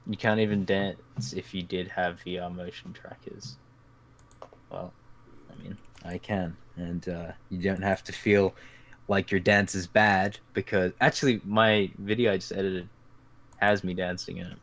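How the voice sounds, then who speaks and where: A man with a fairly low voice hosts a loose podcast chat over a voice call. He rambles, swears freely and pokes fun at himself. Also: little pitch movement, measured pace, a bit bright. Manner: profane, rambling, self-mocking. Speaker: podcast host.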